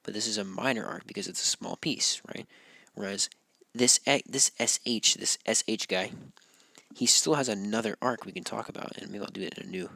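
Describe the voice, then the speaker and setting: A man teaching a geometry lesson, losing his voice, talking in a relaxed way and often checking in with "right?". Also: fairly low pitch, a little fast, vocal fry, no echo. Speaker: math teacher. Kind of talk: recorded classroom walkthrough.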